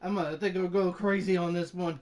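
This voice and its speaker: A woman's voice: lowish voice, fairly even intonation, a little fast. A woman giving casual gameplay commentary, grumbling and swearing in exasperation. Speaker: gamer. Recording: loud game music over voice. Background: video game music.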